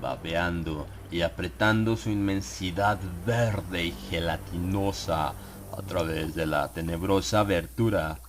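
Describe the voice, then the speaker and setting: Low-voiced man narrating horror. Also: fairly even intonation, a little slow, a little hiss or hum. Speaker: audiobook narrator. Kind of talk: dramatized horror audiobook narration. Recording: clear ambient sound effects behind the voice. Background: atmospheric horror sound effects.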